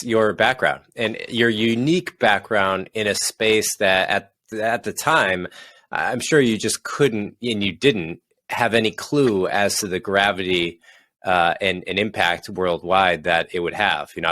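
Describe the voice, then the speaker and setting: A man's voice: fairly low pitch, fairly bright tone. A man hosting a podcast interview, speaking enthusiastically to his guest. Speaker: podcast host.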